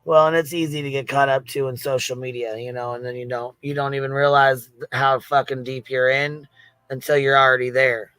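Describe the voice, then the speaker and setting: A man's voice: mid-range voice, little pitch movement, fairly quick, pushed and bright, smooth, dry recording. A man hosting a casual livestream chat, speaking in a blunt, sweary way. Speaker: host of an open-panel livestream.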